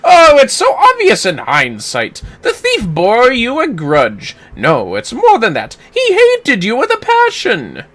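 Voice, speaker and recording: A performer voicing a pompous, theatrical gentleman inspector with grand, archaic, florid delivery in a video game read-aloud. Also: medium pitch, wildly swooping pitch, slow, few pauses, pitched above the performer's own voice, full character voice. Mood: dramatic revelation.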